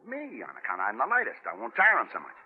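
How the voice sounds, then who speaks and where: A male actor playing a sly, cocky adventurer with a pushy, folksy, excitable manner in an old-time radio drama. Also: mid-range voice, fast talker. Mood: sly persuading. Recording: tinny, noisy vintage radio broadcast.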